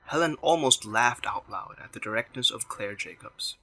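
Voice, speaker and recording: A man's voice: medium pitch, expressive, normal speed, pushed and bright, breathy edge, low-quality recording. A man reading scripted narration for a radio drama.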